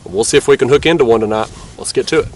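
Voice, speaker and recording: A laid-back young man with a stuffy, nasal voice, talking easily in a relaxed drawl. He sits a little back from the camera mic outdoors at night, with a faint hiss of river ambience behind him. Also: medium pitch, very fast, recorded from a distance. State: nasal from a cold. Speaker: fishing vlogger. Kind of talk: casual outdoor vlog narration.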